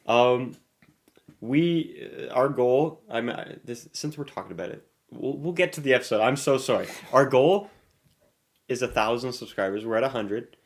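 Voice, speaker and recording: A man's voice: medium pitch, normal speed, vocal fry. Playful, rambling man co-hosting a podcast. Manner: playful, rambling, animated banter. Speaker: podcast co-host. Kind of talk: casual comedic chat.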